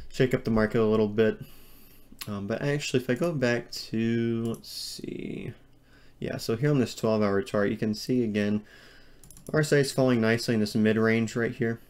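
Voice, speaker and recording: A man's voice: lowish voice, darkish tone. A man walking through market charts on screen in a rambling, hedging way, full of ums and restarts. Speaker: crypto market analyst. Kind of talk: screen-share chart analysis.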